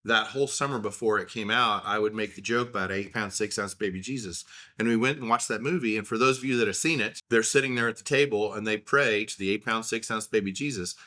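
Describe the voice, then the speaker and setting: A man's voice: lowish voice, fast talker, pushed and bright. A man in his thirties with an Oklahoma accent, telling rambling, self-deprecating stories in a casual solo talk.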